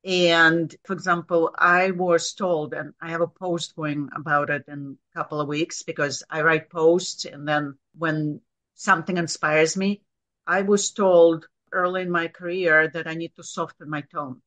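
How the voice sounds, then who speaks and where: A woman with a low voice and a Russian accent, a guest giving direct, practical career advice in an interview. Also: steady tone, a little slow, a bit bright. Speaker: guest, author. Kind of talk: interview conversation.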